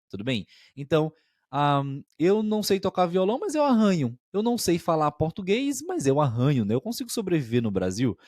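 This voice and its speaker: A man's voice: fairly high pitch, a little mellow, dry recording, clean studio sound. A man co-hosting a podcast as a language teacher, explaining expressions patiently and often checking that listeners follow.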